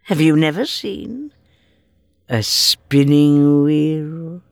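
A woman's voice: deep voice, lively intonation, drawn-out words, vocal fry, a little mellow, dry recording, clean studio sound, heavily acted. An actress playing a wicked fairy disguised as a frail old woman, coaxing and sly with sinister whispers in an audio drama.

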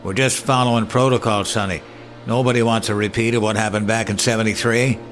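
A grim, matter-of-fact small-town man, as an actor plays him in a radio play, with a lowish voice and music under it. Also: fairly even intonation, fairly quick, lightly acted.

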